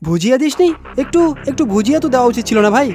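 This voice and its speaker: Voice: medium pitch, very animated, rapid-fire, darkish tone, close to an everyday voice. A performer voicing a fussy, greedy, demanding customer in a comic cartoon, bossy and wheedling, with music behind. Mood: bossy, nagging. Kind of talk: Bengali comic cartoon dialogue.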